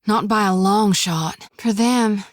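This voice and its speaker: A woman's voice: mid-range voice, takes it slowly, no echo, natural voice. A plainspoken young priestess with a drawl, voiced by a woman for a video game, earnest with a wry edge. She drops "reckon" and "ain't" freely in a close, dry studio take. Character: folksy young woman priest carrying a soul lantern. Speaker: game companion character. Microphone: close studio mic.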